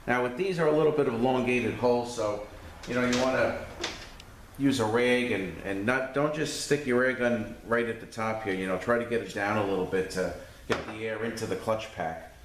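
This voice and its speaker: A man giving an informal hands-on walkthrough, explaining step by step like a mechanic teaching a repair. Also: on the low side. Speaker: transmission mechanic demonstrating repair. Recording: roomy echoing space, distant mic, faint noise.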